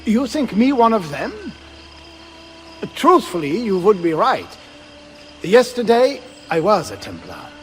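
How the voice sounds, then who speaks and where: A man's voice: high-pitched, huge pitch swings, takes it slowly, pauses often, slight background noise, heavily acted. A man voicing an earnest, philosophical Renaissance astronomer who lectures formally in a video game. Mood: wary, puzzled. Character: earnest, questioning Renaissance astronomer, male Prussian scholar.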